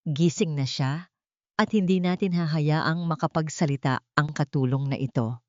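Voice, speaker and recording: A woman's voice: low voice, moderate pace, no room sound, highs cut off, lightly acted. A woman voicing a panicked, scheming young villainess in an audiobook drama, urgent and ruthless. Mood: insistent.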